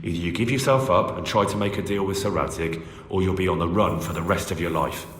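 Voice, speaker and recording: An actor playing a young, firm and blunt medieval agent of a lord in a video game cutscene, speaking plainly and assertively. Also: male voice, sits low, quick pace, a bit bright. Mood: firm, persuasive. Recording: echoey hall reverb, noisy background.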